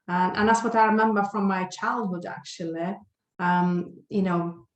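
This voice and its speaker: Slow-talking woman from Lithuania. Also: on the low side, reverberant, poor audio. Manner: hesitant, many fillers and restarts. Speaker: guest, health and well-being coach. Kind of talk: podcast interview.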